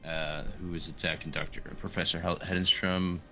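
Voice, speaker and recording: Deep, bright-voiced man playing a tabletop game. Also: level tone, moderate pace, creaky, faint music behind. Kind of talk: casual game table chat.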